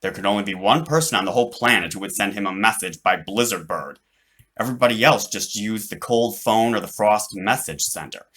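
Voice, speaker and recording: A man reading his own children's fantasy story aloud in an animated, dramatic way, drawing out words for emphasis. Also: lowish voice, a little fast.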